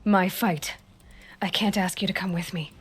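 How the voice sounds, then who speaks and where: A woman's voice: on the low side, fairly even intonation, normal speed, husky, faint music behind. An actress playing a determined, defiant young warrior woman in a video game, speaking tersely and resolutely. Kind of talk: video game drama acting. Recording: noisy game scene ambience.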